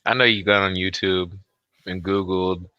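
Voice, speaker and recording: A man's voice: deep voice, level tone, normal speed, smooth, no room sound, highs cut off. A man co-hosting in casual chat, with laid-back phrasing and plenty of swearing. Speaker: co-host.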